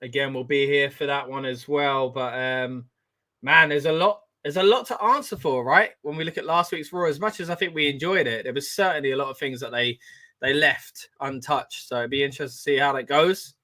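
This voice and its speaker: A man hosting a live watch-along, chatting excitedly and reacting as he reads out viewer comments. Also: mid-range voice, brisk. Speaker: wrestling watch-along host.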